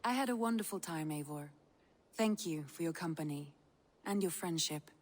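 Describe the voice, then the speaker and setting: A woman voicing a dignified, tender Viking noblewoman in a video game, speaking formally and earnestly, with some halting. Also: sits low, expressive, a little hiss or hum. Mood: warm, composed. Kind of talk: dramatic video game dialogue.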